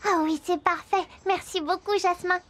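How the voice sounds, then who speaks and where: A woman voicing a polite, gentle female bat who patiently explains things in a children's cartoon. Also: extremely high pitch, fairly even intonation, normal speed, a little hiss or hum.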